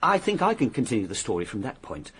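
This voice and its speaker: Animated, precise male secretary. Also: mid-range voice, quick pace, breathy edge, heavily acted. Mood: formal, recounting. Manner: formal, precise, slightly hesitant. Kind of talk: detective radio drama acting. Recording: faint ambient noise behind voice.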